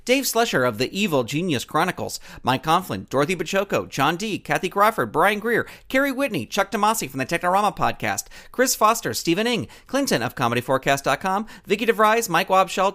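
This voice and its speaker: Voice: medium pitch, fairly bright tone. An American announcer reading scripted, upbeat credits for a children's audio show. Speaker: closing announcer.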